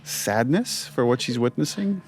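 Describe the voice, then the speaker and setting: A man's voice: mid-range voice, expressive, measured pace. A man giving casual director's commentary on game scenes, talking informally through what he sees.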